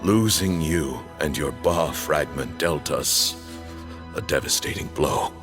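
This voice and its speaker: A deep-voiced zealous temple elder. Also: male voice, music in the background. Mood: grave. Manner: grave, measured declamation. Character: solemn male cult elder, proud and zealous. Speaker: temple leader. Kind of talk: video game voice acting.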